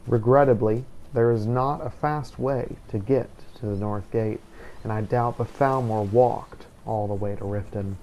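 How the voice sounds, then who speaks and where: A man's voice: mid-range voice, steady tone, a little slow, darkish tone, poor audio. A man giving scripted, formal first-person storytelling narration in character for a game playthrough. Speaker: let's player narrating in character. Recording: music behind the voice.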